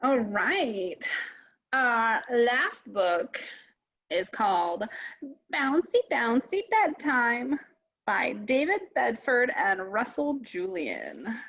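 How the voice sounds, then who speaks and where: A woman leading children's storytime, reading aloud deliberately and putting simple questions to her young listeners, in a slightly muffled recording. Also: fairly high pitch, gravelly, a bit bright, breathy edge. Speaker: children's storytime reader at a public library. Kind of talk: read-aloud picture book and simple chat addressed to children.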